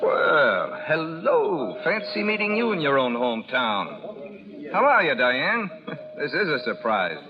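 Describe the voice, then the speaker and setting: An actor playing a proud, cynical, tough-talking rancher in 1930s radio drama style. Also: male voice, a little high, huge pitch swings, measured pace, crowd noise. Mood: casually guarded. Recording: dull, noisy 1930s radio recording.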